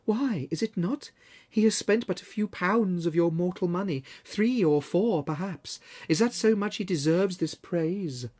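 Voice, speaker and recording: A narrator voicing a grave, soft-spoken spirit in a storybook reading. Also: medium pitch, unhurried, voice pushed up, natural voice. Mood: solemn. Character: solemn spirit, quiet and grave.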